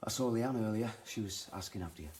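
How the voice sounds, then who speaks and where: A man's voice: lowish voice, quick pace, a little mellow, slight background noise, close to an everyday voice. A middle-aged man acting in a radio drama as a firm, protective father, terse and guarded. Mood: gentle, concerned.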